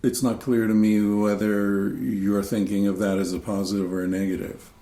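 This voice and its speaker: A man's voice: on the low side, flat delivery, normal speed, warm tone. A man hosting a podcast, asking curious, probing questions. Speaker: podcast host. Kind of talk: interview. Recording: echoey room.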